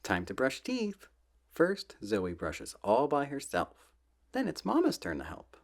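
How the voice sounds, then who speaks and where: A soft-spoken man reading children's books aloud in a gentle, playful ASMR style, often dropping close to a whisper. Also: medium pitch, huge pitch swings, vocal fry. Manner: gentle, drawn-out, playful storybook delivery.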